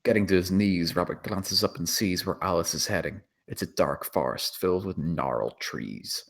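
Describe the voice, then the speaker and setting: Casting: male narrator, low-pitched, delivering scripted scene descriptions with a dramatic storytelling style. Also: normal speed, creaky. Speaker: narrator reading stage directions.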